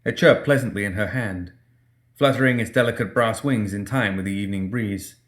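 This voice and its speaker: A man's voice: on the low side, fairly quick. A man reading scripted dramatic fiction as an audiobook narrator.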